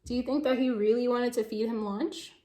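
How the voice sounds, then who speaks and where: A woman reading to young children and discussing the book, expressive and asking questions directly to the listeners. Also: fairly high pitch, brisk, roomy sound. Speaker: children's story reader and teacher. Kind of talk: animated read-aloud and discussion for young children.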